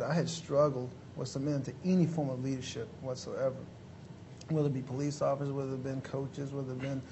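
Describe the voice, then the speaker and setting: A man's voice: mid-range voice, a little mellow, a little hiss or hum, rough recording. A man in his thirties from Mexico, a motivational speaker, answers earnestly and politely with many fillers. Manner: earnest, lots of "you know" fillers, polite "yes sir". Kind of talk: interview answers.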